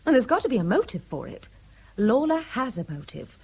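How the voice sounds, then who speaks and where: An actress playing a sharp-minded, protective, indignant woman with a British accent, insistent and probing, in a radio drama. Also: on the high side, sing-song, brisk, husky, full character voice.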